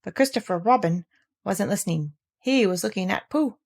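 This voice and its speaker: A woman reading a children's storybook aloud, telling it gently and with life. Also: medium pitch, animated, moderate pace, creaky. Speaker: narrator reading a children's book.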